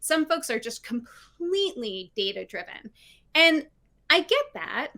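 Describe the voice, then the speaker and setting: A middle-aged woman talks from her living room over a video call, her voice bright and a little high. She speaks with animation and keeps checking in with "right?" as she makes her case. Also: measured pace. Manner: animated, frequent "right?" tags, laughs. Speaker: guest, diversity consultant. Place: home living room with bookshelves. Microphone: video call, laptop mic.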